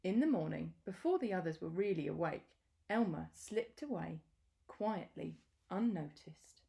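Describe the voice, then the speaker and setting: Slow, mellow woman reading a storybook. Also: fairly low pitch, vocal fry. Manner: deliberate, expressive storytelling with pauses. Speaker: teacher reading a picture book aloud. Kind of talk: children's storybook read-aloud.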